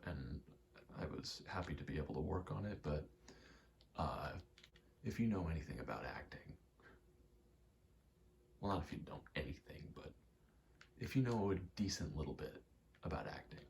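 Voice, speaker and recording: A man's voice: extremely low pitch, very animated, moderate pace, vocal fry, long pauses, slight background noise. A young American man in his twenties rambles in a casual, candid ASMR chat, swearing now and then. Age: 20s. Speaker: ASMR rambler and aspiring actor.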